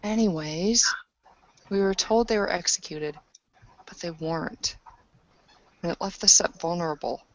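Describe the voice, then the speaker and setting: A woman's voice: fairly low pitch, unhurried, long pauses. A woman speaking conversationally in character as a player in a tabletop roleplaying session. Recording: muffled, dull lo-fi audio.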